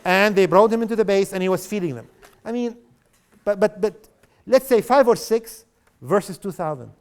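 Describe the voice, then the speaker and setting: A man's voice: high-pitched, animated, normal speed, clean tone. A middle-aged man from South Lebanon giving a public lecture in an impassioned, sarcastic way, with rhetorical questions to the audience. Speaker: guest speaker.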